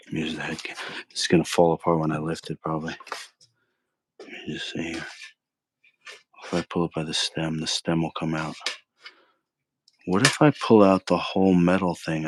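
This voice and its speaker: A man's voice: rumbling low, fairly even intonation, moderate pace, long pauses, poor audio. A man hosting a live cooking stream, rambling casually in slang and arguing with his chat as he cooks. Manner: slangy, argumentative, keeps saying bro. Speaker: cooking livestream host.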